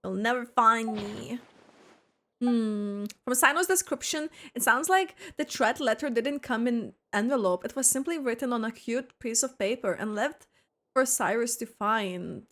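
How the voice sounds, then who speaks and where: A woman's voice: a little high, a little slow, a bit bright. A woman streamer reacting casually to a video game, playfully thinking aloud. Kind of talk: casual playthrough reaction chat.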